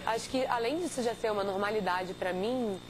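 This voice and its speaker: A woman's voice: mid-range voice, fairly quick, noisy background. A woman in her twenties with a Carioca accent, a swimmer answering interview questions earnestly. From Rio de Janeiro, Brazil. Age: 20s. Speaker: competitive swimmer, interview guest.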